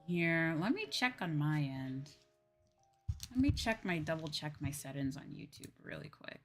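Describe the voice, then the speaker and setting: A woman's voice: deep voice, very animated, a little slow, soft background music. A woman livestreaming a game with casual commentary, chatting to viewers and rambling as she thinks aloud. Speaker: livestreamer playing a farming game.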